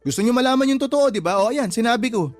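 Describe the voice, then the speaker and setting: A man's voice: very high voice, expressive, fast, soft background music. A young man with a Filipino accent acting a concerned, exasperated adult son in a radio drama, blunt and pleading. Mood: blunt, heated.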